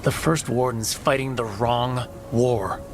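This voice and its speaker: A man's voice: mid-range voice, steady tone, slow, background noise, close to an everyday voice. An actor playing a determined male adventurer in a fantasy video game, direct with a dry, casual manner. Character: determined, wry male adventurer leading a mission.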